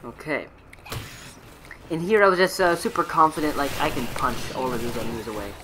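A man's voice: on the high side, animated, fairly quick, bright, forceful tone, a little hiss or hum. A young man giving casual, rambling, self-mocking voiceover commentary on his own gameplay clips. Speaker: gaming video creator. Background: game music.

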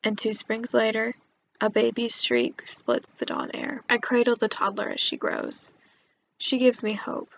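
A woman's voice: fairly high pitch, very animated, a little slow, creaky, fairly bright tone, low-quality recording. A young woman, a student storyteller, reading a scripted poetic monologue. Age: young adult.

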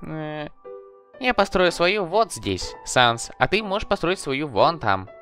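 A man's voice: a little high, sing-song, slow pace, bright, forceful tone, soft background music, full character voice. A man voicing a boastful, naive, earnest skeleton brother in a comic dub, theatrically proud and grandiose in his phrasing. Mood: proud, eager. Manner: pompous, grandiose, formal phrasing.

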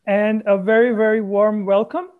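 A man hosting an online science event, introducing a guest speaker in a warm, enthusiastic but slightly halting way, on a headset from home. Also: high-pitched, flat delivery. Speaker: event host. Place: home room. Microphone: headset on a video call. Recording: dull lo-fi call audio.

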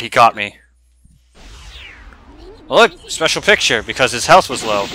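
A male gaming streamer with a bright, forward voice at an average pitch, chatting casually through a playthrough. He jokes and swears in loose slangy banter, with game music playing behind him. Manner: joking, profane, slangy banter.

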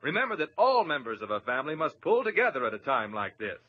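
A male announcer from old radio, speaking to children in an avuncular, instructive way. His voice is fairly high and lively in pitch. The recording is muffled, with faint noise. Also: fast. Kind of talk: vintage scripted public service address to children.

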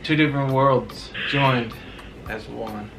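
A man's voice: mid-range voice, slow, fairly bright tone, a little hiss or hum, rough recording. A man in his forties from Cincinnati, Ohio, adding short joking remarks while co-hosting a family vlog at home. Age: 40s. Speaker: co-host husband. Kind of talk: casual chat to camera. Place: indoor room at home.

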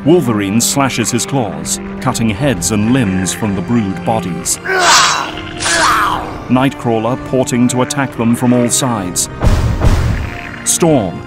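Male narrator, mid-pitched, scripted dramatic action storytelling, background music. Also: slow pace, pauses often.

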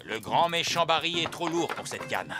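A man voicing a gruff, bossy, excitable elf boat captain in a cartoon, barking orders and exclaiming dramatically. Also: fairly high pitch, normal speed, close to an everyday voice. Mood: stern. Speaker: cartoon character actor. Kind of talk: cartoon dubbing. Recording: loud music behind the voice. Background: loud cartoon music.

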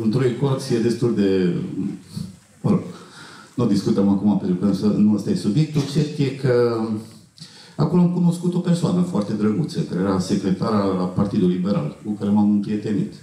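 A middle-aged man telling anecdotes to an audience in a halting way, often clearing his throat, on a festival stage. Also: lowish voice, dark tone. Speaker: film actor at festival Q&A. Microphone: handheld mic, heard from far camera. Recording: echoey hall, distant camera pickup.

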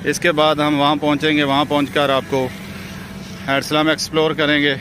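A man's voice: on the high side, level tone, normal speed, noisy background. Chatty Pakistani man vlogging outdoors. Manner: chatty guide, addresses viewers as friends. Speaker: travel vlogger. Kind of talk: casual travel vlog narration. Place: outdoors by roads and a river.

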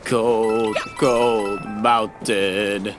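A man's voice: on the low side, flat delivery, extremely slow, music in the background. A man giving casual let's play commentary as a dad hosting for kids. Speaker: gaming host, father playing with child.

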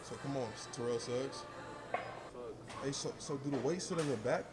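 A man's voice: mid-range voice, moderate pace. A young man vlogging a workout with friends in a large indoor gym, joking and trash-talking between sets. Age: young adult. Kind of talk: casual banter at the gym. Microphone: handheld camera nearby. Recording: gym music behind the voice. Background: music playing.

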